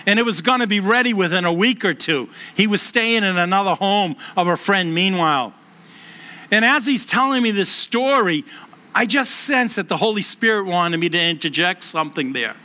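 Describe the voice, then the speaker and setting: A middle-aged man with a Boston accent, a pastor preaching a sermon and telling stories earnestly, slowing down for emphasis. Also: expressive, sharp tone. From Boston, USA. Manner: earnest storytelling, slows and lowers voice for emphasis. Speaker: pastor and hospice chaplain.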